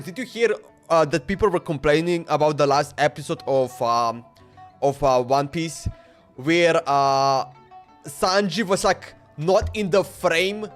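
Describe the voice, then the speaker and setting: A young man chatting casually on a game livestream, rambling and slangy, often stumbling over repeated words. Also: on the high side. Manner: rambling, slangy, stammering repetitions.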